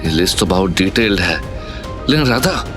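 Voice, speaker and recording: An actor playing an earnest, cautious young man in a Hindi audio drama, speaking in a measured, polite way. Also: deep voice, sing-song, over music. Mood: earnest, careful.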